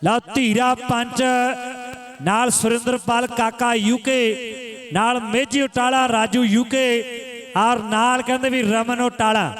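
Very high-pitched man commentating kabaddi. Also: fairly bright tone. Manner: repeats phrases for emphasis. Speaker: kabaddi match commentator and announcer. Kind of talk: live sports commentary with crowd-rousing announcements. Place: live village kabaddi tournament ground.

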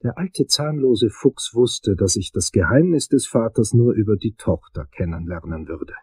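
Casting: wry, reluctant investigator, lowish husky voice, radio crime drama. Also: male voice, animated, moderate pace, a little mellow. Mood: wry, brooding.

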